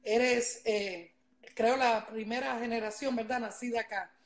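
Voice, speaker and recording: High-pitched Cuban woman, emphatic. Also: steady tone, measured pace. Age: middle-aged. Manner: emphatic, repeats herself, addresses viewers directly. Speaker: livestream host. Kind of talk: casual livestream chat. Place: large living room at home. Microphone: wired earphone mic.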